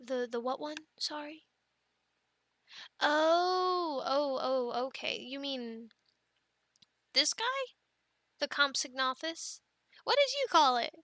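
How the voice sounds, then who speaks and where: A woman playing an affectionate, playful young mother in an ASMR family roleplay, talking gently and haltingly to her children. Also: high voice, expressive, no room sound, clean studio sound, exaggerated voice. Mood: playful, bemused. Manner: gentle, halting, soft-spoken with playful bursts.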